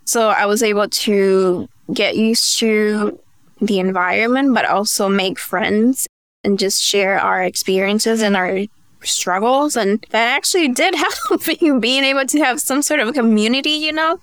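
A woman from the Dominican Republic talks casually as a guest in an interview. She is a native Spanish speaker with a mid-pitched voice. The sound is dry, with hardly any room to it. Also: measured pace, fairly bright tone, smooth, no echo. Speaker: podcast guest, beauty brand founder.

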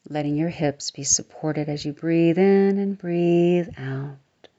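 A woman leads a guided meditation in a low, mellow voice, slow and soothing, her words often dropping into a soft near-whisper. The recording is a little dull and muffled. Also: steady tone.

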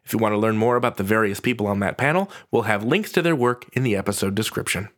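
A man's voice: on the low side, fast talker. Wry male podcast host. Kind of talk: panel talk and casual scripted host narration.

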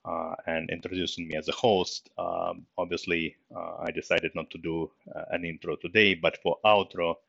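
A middle-aged man from Russia with a Russian accent, hosting a solo podcast episode, speaking haltingly with many fillers in a subdued way. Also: sits low, flat delivery, gravelly, fairly bright tone, no echo, dull audio. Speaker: podcast host.

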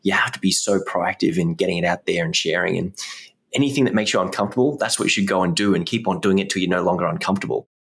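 A man's voice: sits low, quick pace, gravelly, a bit bright, slightly rough. A man hosting a podcast and giving motivational advice in casual interview talk. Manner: motivational, coaching tone. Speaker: podcast host and guitar teacher.

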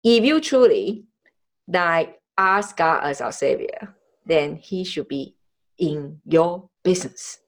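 A woman from Taiwan talks in a fairly low voice as a podcast host. She speaks conversationally in non-native English, pausing and searching for words as she shares personal experience. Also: lively intonation. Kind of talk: conversational interview, personal storytelling.

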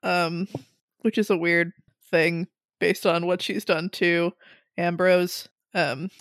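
A woman's voice: on the low side, fairly even intonation, dry recording, clean studio sound. Easygoing, wry young woman co-host. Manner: easygoing, wry, quick with asides. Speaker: podcast co-host. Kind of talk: casual chat.

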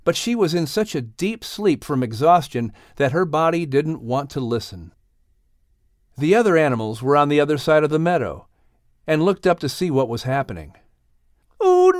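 A man reading a scripted audiobook story with a dramatic, expressive manner. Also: fairly high pitch, normal speed, no echo, studio quality. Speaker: audiobook narrator.

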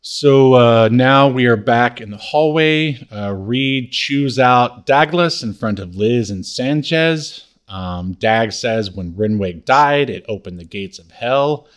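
A man co-hosting a podcast, casually recapping a TV show scene by scene in a halting, hesitant way. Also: medium pitch, clean tone. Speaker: podcast co-host.

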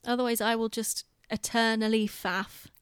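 A woman's voice: on the high side, level tone, normal speed, fairly bright tone, smooth, no room sound, clean studio sound. A British woman chatting casually on a podcast in an informal, self-deprecating way. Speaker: podcast host and theatre director.

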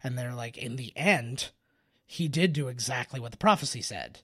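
A man giving casual film commentary as a co-host, thinking aloud in a halting way with false starts. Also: mid-range voice, sharp tone, dry recording, clean studio sound. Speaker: podcast co-host.

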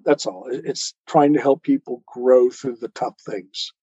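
A man speaking as an interviewed author in an earnest, measured, deliberate and reflective way. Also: medium pitch, warm tone, slightly rough, no echo, lo-fi sound.

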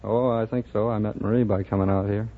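An American man from Iowa, a quiz contestant giving plain, brief, low-key answers. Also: steady tone, fast. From Iowa, United States. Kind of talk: unscripted short answers to a host. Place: radio studio before a live audience. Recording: tinny old radio recording, faint audience.

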